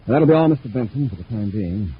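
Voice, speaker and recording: A man playing a calm, authoritative space patrol commander in terse 1950s radio style. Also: fairly low pitch, quick pace, slightly rough. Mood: stern questioning. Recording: muffled old radio recording, faint noise.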